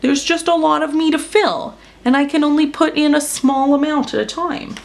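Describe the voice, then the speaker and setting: A woman's voice: high voice, normal speed, vocal fry, a little hiss or hum, pitched above the performer's own voice. A woman voicing an indignant, grumbling big male elephant in a children's folktale. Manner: indignant, protesting.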